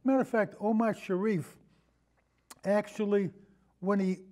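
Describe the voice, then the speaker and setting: A man in a casual film discussion, telling anecdotes in a halting, rambling way and often repeating his phrases. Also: high-pitched, steady tone, darkish tone. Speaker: podcast guest, film discussion.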